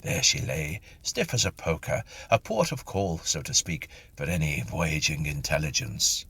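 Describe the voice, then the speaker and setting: A man reading a literary story aloud in an expressive, theatrical narrating style. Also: a bass voice, a little slow, husky. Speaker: story reader.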